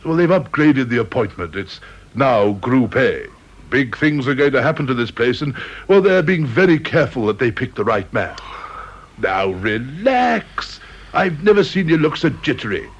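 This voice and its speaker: A husky, swooping, breezy British gent. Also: male voice, mid-range voice, very animated, normal speed. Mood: confiding, reassuring. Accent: British English. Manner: breezy, avuncular, chatty. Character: genial, chummy senior personnel chief, old-school gent. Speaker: actor in a radio play. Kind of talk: British radio drama acting. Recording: dull, lo-fi old radio recording.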